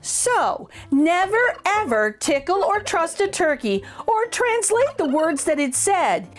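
A woman's voice: very high-pitched, very animated, slow, soft background music. A middle-aged woman hosting a channel, reading a children's picture book aloud in an exaggerated, sing-song storytelling voice.

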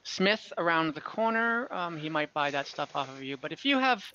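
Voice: mid-range voice, animated, moderate pace, bright, forceful tone, muffled recording, a little hiss or hum. A game master voicing an older female elf shopkeeper in a tabletop game, welcoming and politely businesslike. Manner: effusive greeting, polite sales talk.

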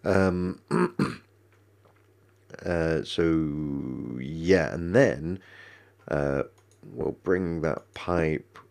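A man's voice: extremely low pitch, extremely slow, gravelly, pauses often, darkish tone. A man with a cold and a stuffy nose, rambling through casual gameplay commentary with many ums and restarts. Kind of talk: casual let's-play commentary.

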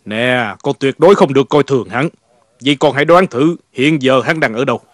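An actor dubbing a shrewd, self-satisfied middle-aged commander in an animated historical drama, deliberate and knowing. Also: male voice, mid-range voice, no echo, studio quality, close to an everyday voice. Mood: knowing, instructive.

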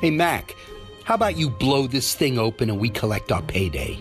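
An actor playing a cocky, menacing gang leader in an audio drama, taunting and drawling. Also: male voice, medium pitch, sing-song, normal speed, faint music behind, natural voice. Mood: commanding.

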